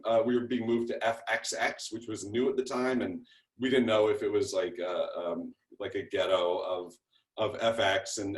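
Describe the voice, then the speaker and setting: A man talking casually on a video call, in a halting, self-deprecating way. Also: on the low side. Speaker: TV series creator introducing his cast. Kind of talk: casual spoken interview. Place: indoor room on a video call. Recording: echoey room over video call.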